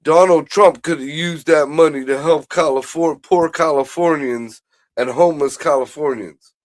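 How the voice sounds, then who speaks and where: A man with a somewhat high voice riffs and rants with crude humor. He jumps between jokes and heated complaints in a loose, casual way. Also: moderate pace. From United States. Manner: crude, joking, animated rants. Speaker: podcast co-host. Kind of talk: casual banter.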